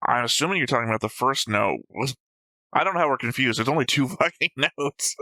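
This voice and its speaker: A man's voice: medium pitch, lively intonation, fast, sharp tone, dry recording. A man co-hosting an anime reaction show, talking through episode notes casually and bluntly, with some swearing.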